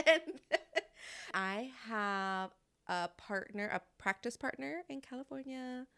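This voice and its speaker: A woman's voice: on the high side, animated, slow, a bit bright, smooth. Woman podcast host in her thirties. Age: 30s. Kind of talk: casual conversational interview.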